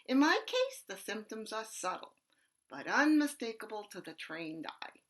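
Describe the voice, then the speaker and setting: An actress performing a stage monologue as an eccentric bag lady with a raspy voice, talking loudly and cackling, then dropping to hushed asides. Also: fairly high pitch, big pitch swings, normal speed. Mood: manic. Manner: loud, cackling, swings between shouting and hushed asides.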